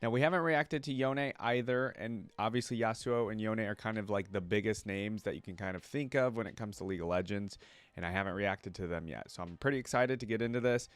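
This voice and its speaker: Man, low-pitched voice, reaction host, casual commentary, reads aloud, informal asides. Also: a little fast, clear, resonant tone, no echo.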